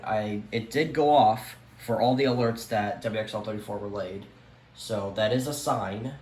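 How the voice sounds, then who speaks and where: A man's voice: medium pitch, darkish tone. A man giving a casual, unscripted show-and-tell as a collector, rambling hesitantly and often trailing off. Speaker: radio collector presenting their collection. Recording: roomy echo, light background noise.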